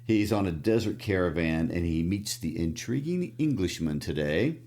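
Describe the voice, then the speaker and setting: A man with a Midwestern accent, co-hosting a casual book discussion podcast and explaining the story in a relaxed way. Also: deep voice. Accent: Midwestern American. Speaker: podcast co-host.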